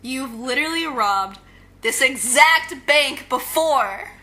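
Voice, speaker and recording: A woman's voice: high voice, expressive, slow pace, pushed and bright, exaggerated voice. A woman playing a brash, confident young supervillain in an audio drama, blunt and punchy. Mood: irritated, blunt.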